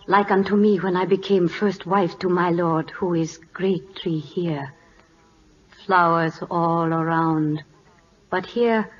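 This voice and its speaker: A solemn, dignified first wife of a Siamese king. She speaks very slowly in measured broken English. The 1940s radio recording is dull, with faint noise. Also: lowish voice.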